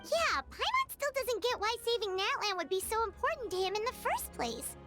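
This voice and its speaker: Tiny excitable game companion: childlike squeak, highly animated, dramatic, prominent music underneath. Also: female voice, normal speed, exaggerated voice. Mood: puzzled. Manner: refers to herself in third person, exclamatory. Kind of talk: video game character acting. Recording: music behind the voice.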